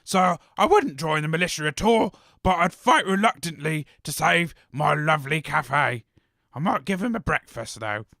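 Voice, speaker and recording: A dotty, soft-hearted cafe cook for an audio comedy. The voice is high and gravelly, swooping up and down. It rambles along naively. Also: male voice, animated, normal speed, dry recording, clean studio sound, heavily acted. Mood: earnest, befuddled. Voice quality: raspy, creaky. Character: eccentric, scatterbrained, soft-hearted post-apocalyptic cafe chef. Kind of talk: comedy audio drama acting.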